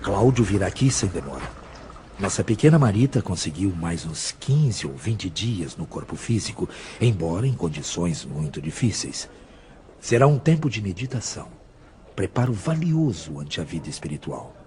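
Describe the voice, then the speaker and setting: An actor playing a wise, humble spirit mentor in an audio drama, speaking gently and with measured, instructive patience. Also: male voice, deep voice, sing-song, background noise. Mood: solemn, consoling.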